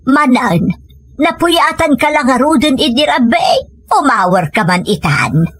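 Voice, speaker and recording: Woman acting a husky, high-voiced Filipino village woman. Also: animated, unhurried, a bit bright, no room sound, studio quality, full character voice. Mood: nagging. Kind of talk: Ilocano audio comedy drama acting.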